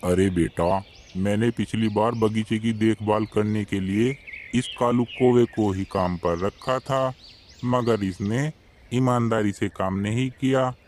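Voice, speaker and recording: A man voicing an elderly, kindly pigeon uncle in a cartoon moral story, with an avuncular, measured manner. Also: lowish voice, fairly even intonation, darkish tone, a little hiss or hum. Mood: knowing, disapproving.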